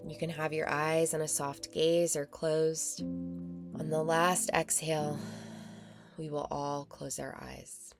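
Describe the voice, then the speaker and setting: A woman with a low voice leads a guided meditation at a very slow pace. She is calm and soothing, leaving long, measured pauses between phrases. Music plays clearly behind her.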